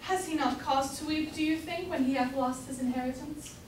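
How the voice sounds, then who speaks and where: A woman acts a distressed, plaintive mother in an old stage comedy. The voice is high. It sounds distant and very echoey, with faint noise. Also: steady tone, normal speed, lightly acted. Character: lamenting mother, a timid, distressed gentlewoman. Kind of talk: amateur staged reading of Jacobean comedy.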